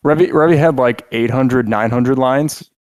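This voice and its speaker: A man's voice: mid-range voice, moderate pace, a little mellow, clean tone, no room sound, clean studio sound. A man co-hosting a podcast in casual chat, rambling and joking with lots of filler words and restarts. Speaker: podcast co-host.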